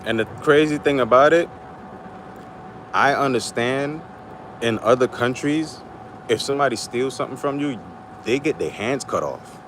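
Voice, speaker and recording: A man's voice: mid-range voice, moderate pace, pauses often, a bit bright, a little hiss or hum. A middle-aged man from Trinidad with a Trinidadian accent, rambling bluntly and swearing freely on a casual livestream. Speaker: livestream host.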